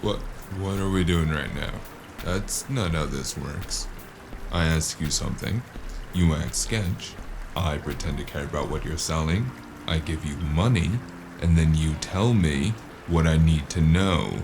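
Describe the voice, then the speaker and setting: Guy acting a tired sarcastic noir gumshoe, really low and slow, dry muttering, music behind. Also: background noise. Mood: impatient, menacing. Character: weary, sardonic male noir investigator shaking down street dealers. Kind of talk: noir audio drama acting.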